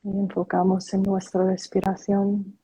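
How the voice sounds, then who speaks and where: Slow-spoken husky woman. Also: medium pitch, monotone, warm tone, low-quality recording. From Korea. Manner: slow, careful, hesitant speech. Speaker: guest. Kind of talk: conversational online interview. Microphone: video call.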